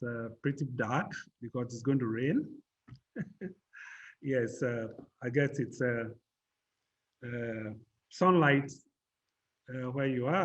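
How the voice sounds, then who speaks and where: A man from Ghana with a Ghanaian accent, giving a measured, explanatory academic panel talk over a video call. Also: medium pitch, muffled recording. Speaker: panel speaker. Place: home in Ghana.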